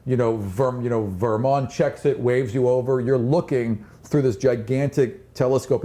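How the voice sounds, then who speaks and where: A man's voice: mid-range voice, warm tone. A man narrating a tabletop fantasy game as game master, moving conversationally between rules talk and scene description.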